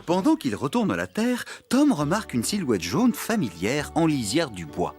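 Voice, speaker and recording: A male narrator tells a scripted story for young children. His pitch is lively and expressive. Music runs clearly behind the narration. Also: moderate pace.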